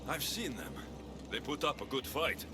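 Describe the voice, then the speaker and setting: An actor playing a distrustful Greek mercenary hero in a video game cutscene, curt, sardonic and challenging. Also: male voice, medium pitch, big pitch swings, normal speed, noisy background, natural voice. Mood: sardonic, wary. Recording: music behind.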